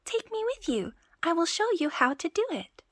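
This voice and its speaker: A woman voicing a tiny, cheeky boy from a storybook, loud and bold, with a husky edge to the voice. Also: very high voice, sing-song, normal speed, no echo, studio quality, voice pushed up, full character voice. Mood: cheeky shouting.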